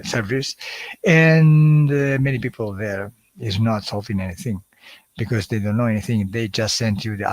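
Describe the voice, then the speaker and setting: A man with an Italian accent hosting a live stream, talking in a halting way with many fillers and restarts. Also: mid-range voice, dry recording. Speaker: hypnosis channel host. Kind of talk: casual livestream talk.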